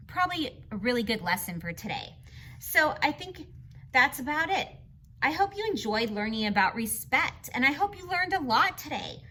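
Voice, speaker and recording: A woman's voice: high voice, pushed and bright, a little hiss or hum. A woman reading aloud to children as a teacher, explaining in simple, instructive phrases and putting questions to her young listeners.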